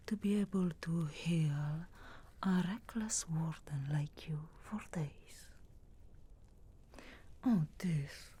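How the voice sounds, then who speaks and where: A woman voicing a sharp-tongued, sarcastic but reluctantly caring witch in a slow, breathy, whispered ASMR fantasy roleplay. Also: lowish voice, full character voice. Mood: teasing, caring. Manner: slow, deliberate, dry sarcastic teasing.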